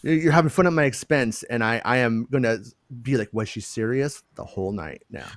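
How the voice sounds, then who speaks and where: A man's voice: medium pitch, lively intonation, brisk, dry recording. A man hosting a casual podcast chat over a video call, stumbling over and repeating his words as he jokes. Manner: stammering, repeats words, self-deprecating jokes. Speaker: podcast host.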